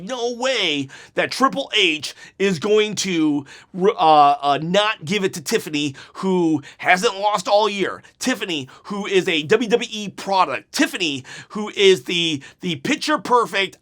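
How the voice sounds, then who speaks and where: A man hosting a wrestling channel, giving an unedited review right after a show, excitable and rambling, his voice going hoarse. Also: high-pitched. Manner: excitable, rambling, repeats himself.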